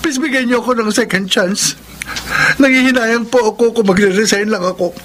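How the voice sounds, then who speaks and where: A nervous young writer who is eager to please, in a Filipino radio drama. His high voice has a Filipino accent and stumbles deferentially over words, with faint background noise. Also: moderate pace, a bit bright. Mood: pleading. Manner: stammering, deferential, hesitant. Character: timid, anxious young film story writer, eager to please.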